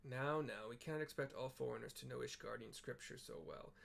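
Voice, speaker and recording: A courteous, genial knight lord speaking politely, voiced by a man reading aloud. The mid-pitched voice stays close to the reader's own. It is plain game dialogue read at home. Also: a little fast, vocal fry, a bit bright, a little hiss or hum.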